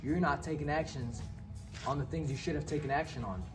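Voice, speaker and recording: A young man from Palestine talks in an earnest, reflective way, circling back and restarting his sentences as he tells his story. He sits a little back from the microphone, so his voice sounds distant, and clear music plays behind him. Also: a little high, fairly quick. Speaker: podcast guest. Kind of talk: casual storytelling chat.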